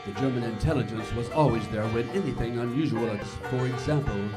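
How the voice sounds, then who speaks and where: Mid-pitched man narrating old-time radio over music. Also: a little fast. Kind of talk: 1940s radio scripted narration.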